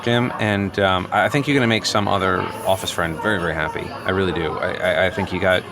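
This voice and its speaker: There is noticeable background noise around a deep-voiced man acted by a male improviser. He is a defensive, philosophizing office worker in a naturalistic radio play. He hesitates, circles back and earnestly justifies his new enthusiasm. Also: steady tone, fast. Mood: parting, conciliatory.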